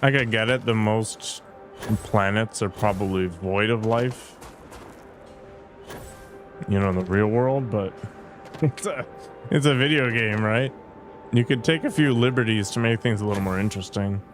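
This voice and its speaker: A man's voice: lowish voice, unhurried, pauses often, faint music behind. A man giving casual commentary on a game he is playing, with dry, sarcastic asides. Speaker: gamer streamer. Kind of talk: casual let's play commentary.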